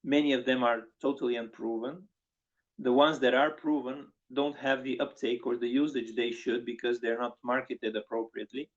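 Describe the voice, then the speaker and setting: A man from Bulgaria, a guest on an online panel, explaining points in a measured way with frequent restarts. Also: medium pitch, fairly even intonation. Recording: lo-fi online call audio.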